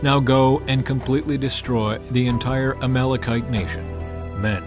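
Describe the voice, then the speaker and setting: A man's voice: medium pitch, fairly even intonation, moderate pace, over music, natural voice. A man reading as a grave old prophet.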